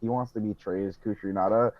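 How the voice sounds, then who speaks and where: A man's voice: on the low side, steady tone, fairly quick. A man co-hosting a podcast, joking and teasing in casual banter. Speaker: podcast co-host. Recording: dull lo-fi mic, noisy.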